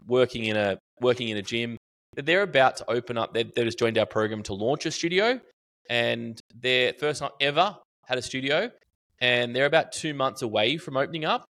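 A man's voice: mid-range voice, a bit bright. A middle-aged Australian man, a podcast guest, talking casually and animatedly, telling stories with restarts and fillers. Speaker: podcast guest, marketing educator. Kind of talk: casual interview chat.

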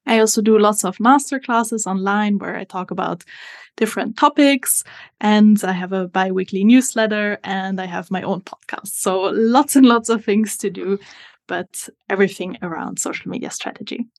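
A woman's voice: mid-range voice, moderate pace. A woman in her twenties from Italy with an Italian accent, a guest on a podcast, explaining her work step by step with frequent fillers. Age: 20s. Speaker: social media strategist guest. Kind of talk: podcast interview.

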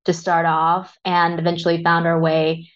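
Guest, woman in her 30s, low voice, candid and reflective, dull lo-fi audio. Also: monotone, normal speed. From Vietnam, raised in Texas. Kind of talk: reflective interview talk.

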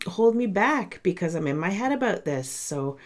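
A woman's voice: on the low side, very animated, moderate pace. Congested, nasal woman podcast host. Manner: chatty and candid, speaks to listeners directly. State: congested from a sinus cold. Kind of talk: casual solo podcast monologue.

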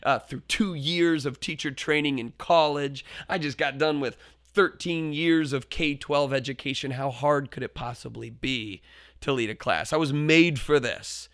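A man's voice: medium pitch, a little slow, no room sound, clean studio sound. A man who is a teacher and podcast host, telling a classroom story in an earnest, reflective way and often restarting his phrases. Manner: builds up to loud, forceful quoted shouts in stories.

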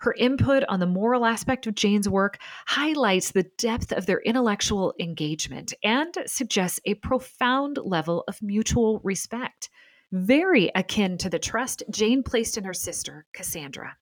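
A woman hosting and narrating a scripted documentary in a measured, articulate storytelling manner. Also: medium pitch, lively intonation, dry recording. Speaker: podcast host and narrator.

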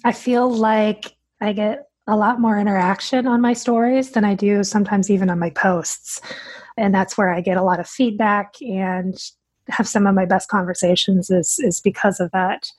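A woman's voice: mid-range voice, fairly even intonation, moderate pace, a little mellow, slightly rough. A woman speaking as a dietitian guest on a podcast, answering in a direct, earnest way.

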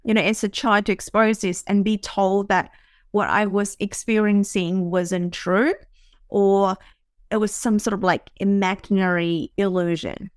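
A woman's voice: mid-range voice, fairly even intonation, a little slow, no echo, clean studio sound. A woman from Vietnam, hosting a podcast, talking reflectively about her own life in a searching way with fillers and restarts. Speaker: podcast host. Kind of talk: reflective personal talk.

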